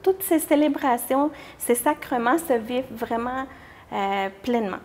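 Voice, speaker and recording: High-voiced young woman, hesitant. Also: unhurried, a little mellow, slight background noise. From Mexico. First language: Spanish. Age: young adult. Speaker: interview guest, church youth group leader. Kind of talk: informal interview answers.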